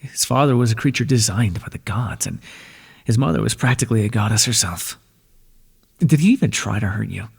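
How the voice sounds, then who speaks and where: A man voicing an ancient god of the underworld in an ASMR roleplay, speaking in a soft, breathy, measured way and reassuring the listener tenderly. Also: on the low side, lively intonation, in a large hall, full character voice. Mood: gentle, soothing.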